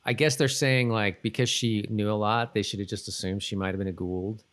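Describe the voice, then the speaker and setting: A man's voice: on the low side, fairly even intonation, fairly quick, a bit bright. A man co-hosting a podcast in casual, rambling chat with lots of hedging, sometimes reading notes aloud. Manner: rambling, hedging, often saying "like". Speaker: podcast co-host.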